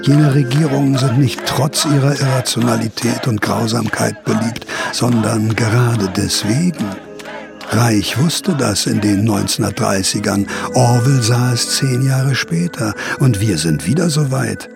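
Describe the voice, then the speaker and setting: Creaky, sardonic male inner voice. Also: mid-range voice, a little slow, over music. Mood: sardonic, lecturing. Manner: sardonic, lecturing. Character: mocking male inner voice, sardonic sparring partner. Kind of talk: German radio drama acting.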